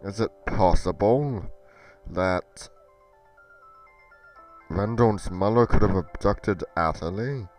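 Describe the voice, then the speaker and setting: Casting: male actor as troubled, earnest roleplay adventurer. Low-pitched, slow, theatrical self-questioning, music underneath. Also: a little mellow, low-quality recording. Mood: uneasy, determined. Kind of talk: dramatic Minecraft roleplay acting.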